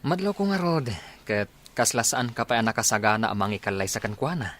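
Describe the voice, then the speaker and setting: A man's voice: mid-range voice, big pitch swings, a little fast, fairly bright tone. A man playing a brotherly, teasing soldier in his twenties in casual Ilocano audio drama dialogue. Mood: teasing, friendly.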